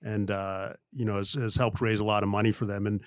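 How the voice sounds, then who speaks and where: A man's voice: fairly low pitch, level tone, a little fast, no echo. A man hosting a podcast in a studio, agreeable and hesitant, often restarting his sentences and laughing easily. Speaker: podcast host. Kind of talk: casual podcast chat.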